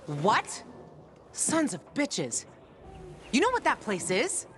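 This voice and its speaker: A woman's voice: a little high, wildly swooping pitch, slow pace, fairly bright tone, soft background music, exaggerated voice. An actress playing a fiery, blunt woman ally who swears at her enemies. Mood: angry. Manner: angry, blunt.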